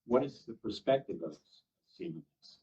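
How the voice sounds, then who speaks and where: Deep-voiced older man, distant and muffled. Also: lively intonation, moderate pace, warm tone. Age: over 65. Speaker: audience questioner, former physician. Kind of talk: unscripted audience question. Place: conference summit event. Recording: muffled, distant event microphone.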